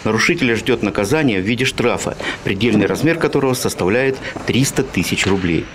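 A man's voice: lowish voice, animated, fairly quick, a little hiss or hum. A man narrating a scripted news report in formal official language.

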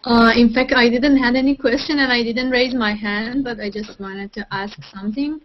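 Young woman, from Iran, PhD student, higher voice, headset, muffled call. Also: fairly even intonation, moderate pace. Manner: lightly laughing, direct. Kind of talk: casual question on a video call. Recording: muffled video-call audio.

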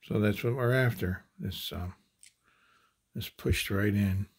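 A man casually demonstrating ammunition handloading at a table, talking in halting phrases with many fillers and restarts. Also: on the low side, slightly rough. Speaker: handloading channel host.

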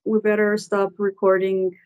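A young adult woman who hosts a podcast, with a medium-pitched voice. She talks casually and tentatively over a video call, qualifying her points as she goes. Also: monotone, moderate pace, darkish tone, lo-fi sound, a little hiss or hum. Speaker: podcast host. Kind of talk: casual conversational interview. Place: indoor room, video call.

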